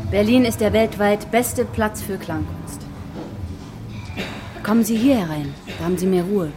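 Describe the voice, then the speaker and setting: Casting: actress as welcoming sister, radio play, mid-pitched female voice, brisk, clear music behind. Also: lightly acted. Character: welcoming, brisk sister showing a visitor around. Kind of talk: radio drama dialogue.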